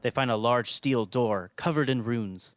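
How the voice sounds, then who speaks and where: A man's voice: medium pitch, unhurried, no room sound, studio quality. A man hosting and narrating a scripted audio drama podcast.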